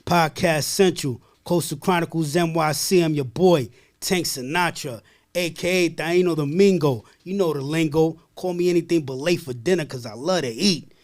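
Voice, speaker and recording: A man hosting a podcast, talking in energetic streetwise slang and narrating a guest's story. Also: a little high, normal speed. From United States. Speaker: podcast host. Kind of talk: hype podcast intro and narrated guest bio.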